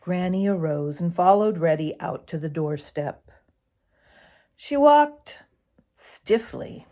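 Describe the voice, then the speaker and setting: A woman narrates a children's storybook aloud. She takes her time over each phrase and moves her pitch up and down in a lively, expressive way. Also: takes it slowly.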